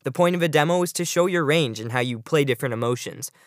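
A man's voice: a little high, quick pace, no echo, studio quality. A male guest on a podcast giving prepared advice.

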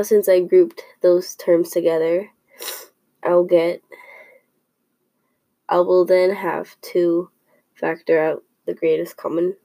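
A woman's voice: fairly low pitch, mellow, no room sound, poor audio. A young woman with a sniffly, slightly stuffed-up voice, explaining a math lesson for a podcast, thinking aloud as she goes. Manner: slow and careful, thinking aloud with small restarts. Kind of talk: informal classroom podcast explanation.

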